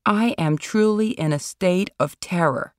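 A woman's voice: lowish voice, a little slow, dry recording, clean studio sound, pitched above the performer's own voice, close to an everyday voice. A reader playing a dramatic older female screen diva, theatrical, panicky and sarcastic, in a short story. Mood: terrified.

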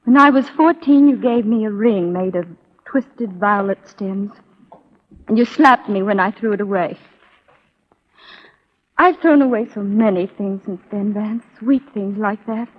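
A woman's voice: medium pitch, measured pace, lightly acted. Actress doing poised wistful southern spy lady, old 40s radio drama, muffled lo-fi sound. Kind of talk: 1940s radio acting. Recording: dull lo-fi 1940s radio broadcast.

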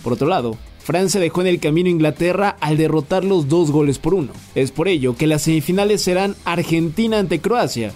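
A man hosting a sports news podcast, reading the day's football stories in a brisk, scripted way. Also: on the high side, faint music behind. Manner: brisk, even headline delivery.

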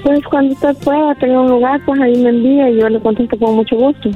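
A woman's voice: high voice, little pitch movement, quick pace. A Salvadoran woman with a Salvadoran accent, polite and friendly, answering an unexpected phone call. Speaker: call recipient. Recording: phone call, dull sound, music under.

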